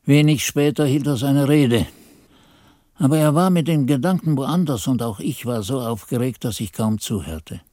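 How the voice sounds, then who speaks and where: An actor playing a reflective monk who narrates his memories in measured, literary radio drama storytelling. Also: medium pitch, warm tone, dry recording, clean studio sound, close to an everyday voice. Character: reflective monk recounting his novice days. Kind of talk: scripted first-person radio drama narration.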